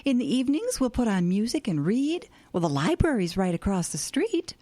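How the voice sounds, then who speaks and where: An actress with an American accent playing a gentle, scatterbrained older hippie mother, soothing but slightly flustered, in an audio drama. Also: on the high side, sing-song, normal speed, no echo.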